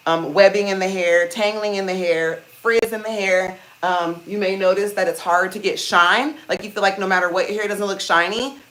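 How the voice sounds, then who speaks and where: A woman with a deep voice hosting a casual hair-care livestream, chatting to viewers and calling them "you guys" while she steams her hair. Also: a bit bright, poor audio. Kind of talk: casual live-stream chat reading comments. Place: indoors at home, seated on a small chair. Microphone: phone.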